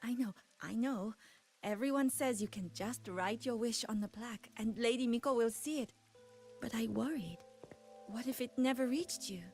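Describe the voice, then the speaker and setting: Woman as a wistful, high-voiced young ghost girl. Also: normal speed, a little mellow, soft background music, natural voice. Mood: eager, admiring. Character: wistful, devoted young female ghost admirer of a shrine maiden. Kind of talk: game voice acting.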